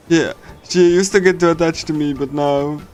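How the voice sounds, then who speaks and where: A man with a slightly high voice talks casually on a livestream as he reads through a visual novel. He speaks haltingly, like a giggly, chatty fan, with soft game soundtrack music playing behind him. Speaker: streamer reading a visual novel. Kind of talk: casual livestream chat with loose readthrough.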